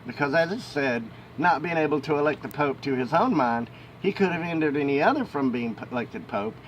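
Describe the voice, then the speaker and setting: Higher-voiced man reading aloud, noisy recording. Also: lively intonation, fairly quick, dull audio. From United States. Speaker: amateur audiobook reader. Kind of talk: reading a historical book aloud, with informal asides. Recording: noisy home recording with ambient rumble.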